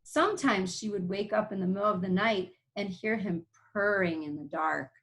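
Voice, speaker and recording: A woman teacher reading a picture book aloud, pausing to explain things simply to young listeners. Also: medium pitch, fairly bright tone, low-quality recording.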